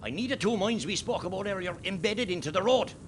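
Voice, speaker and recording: A man with an Irish accent playing a steady, rousing rebel column commander in a radio play. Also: fast talker, lightly acted. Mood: grave, commanding. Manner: commanding, swinging from quiet briefing to rallying. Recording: faint rumbling background noise.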